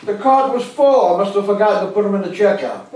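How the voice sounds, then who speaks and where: A man's voice: sits high, quick pace, a little mellow, close to an everyday voice. An older man acting a stubborn, crafty grandfather in his eighties in a live stage play, blunt and defensive. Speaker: stage actor. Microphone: far camera microphone. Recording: echoey theater, distant mic, faint noise.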